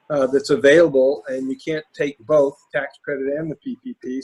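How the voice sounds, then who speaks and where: A man whose voice is raspy and hoarse, heard over a video call. He talks in a halting way, filling gaps with ums and restarting sentences. His pitch sits in the middle. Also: a little mellow, rough recording. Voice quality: raspy, lost voice. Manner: halting, many fillers and restarts. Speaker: panelist presenting case studies. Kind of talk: webinar talk.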